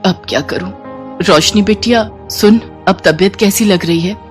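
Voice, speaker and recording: A woman voicing a humble, anxious housemaid and caring mother in a storybook tale, meek and gentle. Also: medium pitch, moderate pace, faint music behind, natural voice. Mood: caring, worried.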